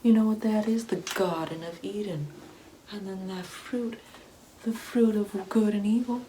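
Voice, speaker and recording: Woman acting out a slow, sanctimonious scolding Karen mother. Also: medium pitch, steady tone, dark tone, a little hiss or hum, close to an everyday voice, poor audio. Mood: scolding, indignant. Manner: condescending, preachy, drawn-out emphatic phrasing with breathy whispering. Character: sanctimonious, scolding, Bible-quoting Karen mother, ignorant and righteous. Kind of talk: ASMR comedy roleplay.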